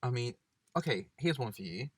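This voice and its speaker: Animated man chatting, dry close sound. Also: medium pitch, dry recording. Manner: animated, quick storyteller who imitates others. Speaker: podcast co-host. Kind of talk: casual chat. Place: parked car.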